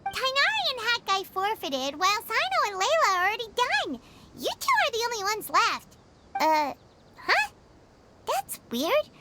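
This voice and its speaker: A woman voicing a tiny, cheerful childlike fairy companion in an anime-style video game, with a squeaky, chatty delivery. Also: huge pitch swings, a little slow, very noisy, full character voice. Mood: bright, curious.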